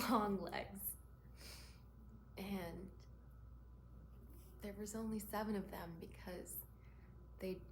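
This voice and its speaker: A woman acting slow, haunted young woman, echoey room. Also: mid-range voice. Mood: wistful, hushed. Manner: halting, hushed and breathy, swelling into anguished cries. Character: Rose, shaken young woman haunted by witnessed cruelty. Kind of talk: dramatic stage monologue. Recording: roomy echo, faint background noise.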